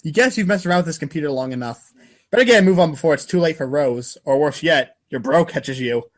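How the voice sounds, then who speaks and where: A man's voice: on the high side, lively intonation, a little fast. A man narrating a webcomic aloud in an informal, dramatic second-person style. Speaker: reader of a webcomic read-aloud show.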